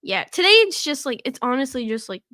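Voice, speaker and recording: Congested woman with a bright voice. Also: sits high, big pitch swings, fairly quick, no room sound, studio quality. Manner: rambling, fillers of "like", frequent laughs. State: congested from a cold, coughing. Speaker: podcast co-host. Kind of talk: casual chat. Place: podcast studio.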